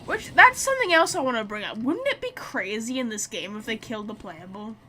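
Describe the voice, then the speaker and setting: A woman's voice: on the high side, very animated, brisk, pushed and bright, a little hiss or hum. A woman game streamer giving casual, playful commentary, chatting and thinking aloud as she plays. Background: video game sounds.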